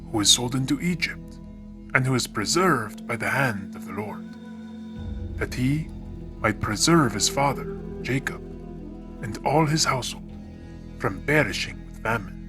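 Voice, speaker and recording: A man's voice: medium pitch, lively intonation, a little slow, long pauses. Solemn male scripture narrator over music.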